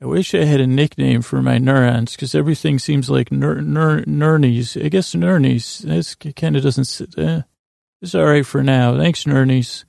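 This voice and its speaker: A man hosting a podcast, rambling in a halting, self-deprecating, whimsical monologue that keeps wandering off topic, with a gravelly, creaky voice. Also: on the high side, steady tone, darkish tone, dry recording, studio quality. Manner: meandering, halting, goes off topic mid-sentence. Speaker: podcast host.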